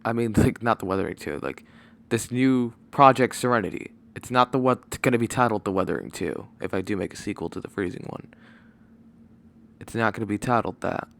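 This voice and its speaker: A man giving a casual, unscripted channel announcement as a video creator, halting and rambling as he goes, on a microphone with no pop filter. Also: mid-range voice, gravelly, fairly bright tone, slight background noise. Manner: rambling, halting, often losing his train of thought. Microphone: microphone without pop filter.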